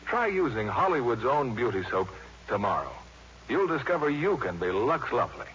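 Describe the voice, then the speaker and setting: A man's voice: medium pitch, sing-song, moderate pace, fairly bright tone, breathy edge. A man announcing scripted 1950s radio commercials in a polished, salesmanlike manner. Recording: muffled, noisy old 1950s radio recording.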